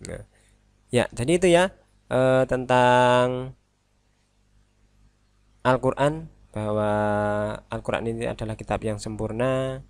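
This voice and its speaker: A man's voice: mid-range voice, takes it slowly, pauses often, clean tone, no echo. A male teacher talks through an online lesson in a mid-range voice. He explains patiently and often corrects himself along the way. The sound is dry and close to the mic. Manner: explanatory, self-correcting, with frequent "nah" and "eh". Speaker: religious studies teacher.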